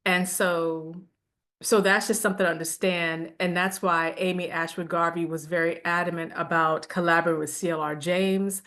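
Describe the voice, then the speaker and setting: Woman lecturer, slightly low voice, casual and animated class talk. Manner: conversational, animated, laughs now and then.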